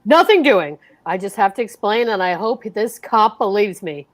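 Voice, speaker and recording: A woman's voice: medium pitch, animated, normal speed, natural voice. A woman playing a reluctant, worrying henpecked husband in old-time radio comedy style, hesitant and grumbling. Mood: nervous.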